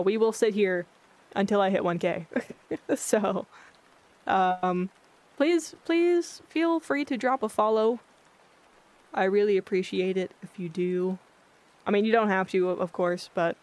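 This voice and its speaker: A livestreamer chatting casually with viewers in a monotone, blunt-sounding way, often losing their train of thought. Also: mid-range voice. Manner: rambling, loses track of thoughts. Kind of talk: casual chat with live viewers. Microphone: filtered streaming mic.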